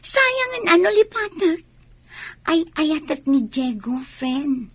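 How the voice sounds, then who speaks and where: A woman's voice: high-pitched, very animated, slow pace, a bit bright, no echo, full character voice. An actress with a Filipino accent playing a lovestruck, stubborn young woman in a radio drama. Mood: lovestruck, defensive. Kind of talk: Ilocano radio drama acting.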